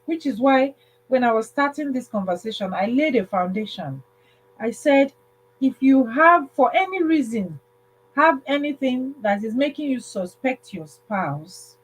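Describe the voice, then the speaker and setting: Slow-talking woman telling a story. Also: a little high, slightly rough, poor audio. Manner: halting, repeats phrases, checks in with listeners. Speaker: livestream host telling a story. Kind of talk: casual spoken storytelling with advice.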